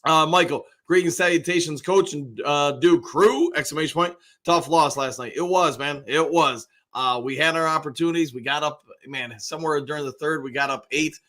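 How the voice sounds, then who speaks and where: Slightly hoarse American man hosting casually. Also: on the high side, normal speed, few pauses, fairly bright tone. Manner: chatty, rambling, conversational. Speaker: sports show host, basketball coach and realtor. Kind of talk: casual live fan-show commentary.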